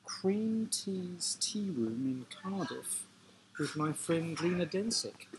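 A man's voice: fairly high pitch, lively intonation, takes it slowly, background noise. A man hosting a casual podcast interview in a tea room, chatty and inquisitive. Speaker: podcast host.

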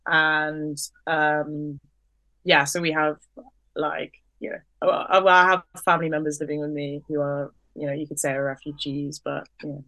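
A young woman co-hosting a podcast, talking in an animated, chatty way with lots of fillers. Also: low voice, moderate pace, rough recording. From Ukrainian family, raised in the UK. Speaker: podcast co-host. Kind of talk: casual podcast discussion.

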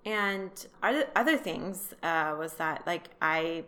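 A woman from Iran telling her personal story as an interview guest, speaking haltingly and repeating words as she thinks. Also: lowish voice, animated, bright, forceful tone.

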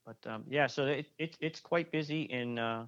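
A man's voice: medium pitch, moderate pace, fairly bright tone, lo-fi sound. A man talking casually as a guest musician, rambling with frequent restarts and fillers. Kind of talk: casual interview chat.

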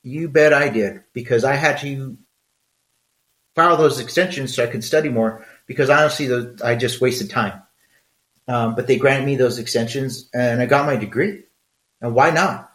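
A man's voice: medium pitch, normal speed, a bit bright, roomy sound. A middle-aged man with a Mexican accent, talking frankly and conversationally in a solo podcast, with rambling asides. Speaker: podcast host.

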